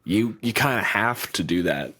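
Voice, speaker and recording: Man, low voice, podcast co-host, blunt, swears a lot, animated riffing. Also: normal speed. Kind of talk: casual podcast chat.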